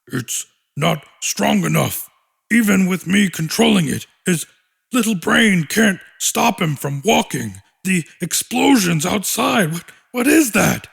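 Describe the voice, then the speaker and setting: A man voicing a determined young boy monster in an audiobook, with a rough, gravelly voice. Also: high-pitched, very animated, takes it slowly, heavily acted. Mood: strained. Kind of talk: audiobook character acting.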